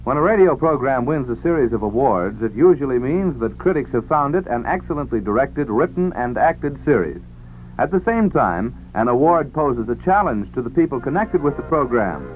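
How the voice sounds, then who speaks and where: A man's voice: medium pitch, expressive, normal speed, slight background noise. A man announcing a scripted 1940s radio promo in a formal, polished manner. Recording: tinny vintage radio with music.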